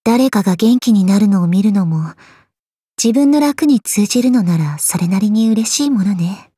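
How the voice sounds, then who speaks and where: A woman voicing a cool, aloof, quietly caring gorgon swordswoman in a game, languid, deadpan and curt, with a soft, husky voice. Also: medium pitch, moderate pace, dry recording, studio quality. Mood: languid, teasing. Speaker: voice actress. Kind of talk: scripted Japanese mobile game character lines.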